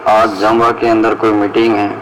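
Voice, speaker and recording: A man delivering a measured, formal spoken message in a deliberate way, heard as a replayed recording. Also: lowish voice, completely flat delivery, low-quality recording. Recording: recorded audio message replayed, faint noise.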